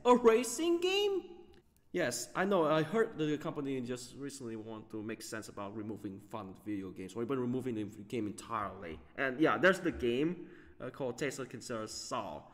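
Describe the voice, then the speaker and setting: A man hosting a gaming video, giving off-the-cuff commentary in a halting way, often stumbling over his words. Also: medium pitch, big pitch swings, in a large hall.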